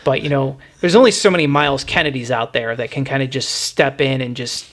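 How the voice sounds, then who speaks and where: A man's voice: medium pitch, steady tone, a little fast, a bit bright. A man hosting a casual music discussion, talking in a rambling, opinionated way. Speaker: music podcast host.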